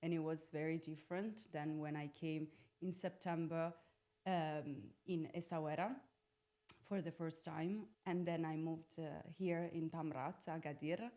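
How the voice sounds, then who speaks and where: A young adult woman from Italy with a slightly low voice speaks as a podcast guest. She reflects casually on personal experience, in an informal way, feeling for the right word as she goes. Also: fairly even intonation, measured pace, mellow. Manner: informal, searching for words, peppered with "like". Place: podcast studio.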